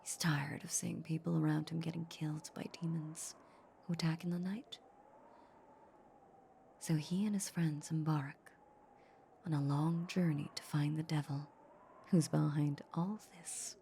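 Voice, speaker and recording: Female vampire played by a woman, possessive and teasing; unhurried, dark breathy tone, intimate audio drama. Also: deep voice, steady tone, a little hiss or hum. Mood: quietly curious. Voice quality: breathy, often half-whispered. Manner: slow, teasing, condescending purr. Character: seductive, possessive female vampire, teasing her human captive. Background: soft ambient sound effects.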